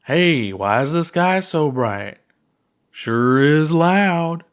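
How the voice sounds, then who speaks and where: A man's voice: fairly high pitch, big pitch swings, drawn-out words, clear, resonant tone, voice pushed up, full character voice. A man voicing a goofy, laid-back alien astronaut with a playful, theatrical drawl in a storybook reading. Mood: theatrical confusion.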